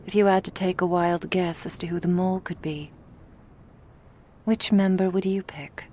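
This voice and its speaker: A woman playing a cool, menacing female vampire crime boss in an ASMR roleplay, speaking in a measured, authoritative way. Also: on the low side, fairly even intonation, a little mellow, a little hiss or hum, natural voice. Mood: coolly suspicious.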